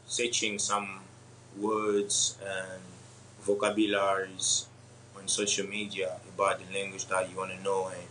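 Young Congolese fighter, lower voice, pauses often, non-native speaker, faint hiss in the background. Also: male voice, monotone, bright, forceful tone, low-quality recording. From Democratic Republic of the Congo. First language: French or Swahili. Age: young adult. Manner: halting, many ums, laughs often. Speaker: MMA fighter guest. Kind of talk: casual interview answers.

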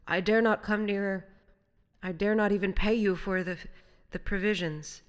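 A woman's voice: mid-range voice, steady tone, pitched above the performer's own voice, natural voice. Woman playing a pitiful starving castaway man, faltering, reverberant. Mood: fearful, grateful. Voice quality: husky, faltering. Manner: pleading, archaic and halting speech. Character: hopeless, starving castaway man hiding in darkness, fearful.

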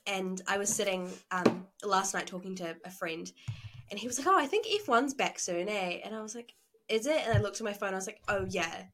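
A young woman who is a university student co-hosts a podcast from her hall room. She sounds tired and a little rough from a recent cough. She talks in an easygoing, rambling way. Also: mid-range voice, lively intonation, a little fast, a bit bright. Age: young adult. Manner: laid-back, rambling. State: tired, getting over a cough. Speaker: podcast co-host. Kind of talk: casual chat.

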